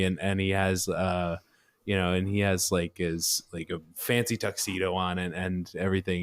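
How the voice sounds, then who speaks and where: A male tabletop player speaks in a deep voice. He improvises his story casually, piecing ideas together with a hesitant, stop-and-start delivery.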